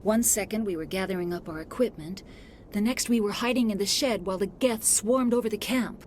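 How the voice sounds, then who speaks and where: A woman's voice: medium pitch, a little fast, slight background noise, close to an everyday voice. An actress playing an earnest, shaken female research scientist in a video game, giving articulate accounts. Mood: haunted. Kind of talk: cinematic video game acting.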